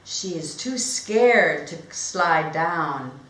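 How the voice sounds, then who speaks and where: A woman teacher reading a storybook aloud as a lesson for young learners, speaking in simple, deliberate phrases with pauses and questions to her listeners. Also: low-pitched, lively intonation, fairly bright tone. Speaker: teacher demonstrating read-aloud techniques. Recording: echoey room with clear background noise.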